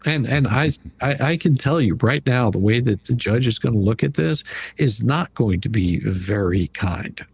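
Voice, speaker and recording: A man with a slightly husky voice hosting a casual livestream commentary in a blunt, mocking, colloquial way. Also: mid-range voice, animated, moderate pace, darkish tone. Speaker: livestream host.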